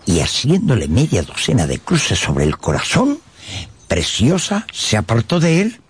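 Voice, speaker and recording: A man narrating a dramatised audiobook in a theatrical, expressive way, with dramatic pauses and a gravelly edge. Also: medium pitch.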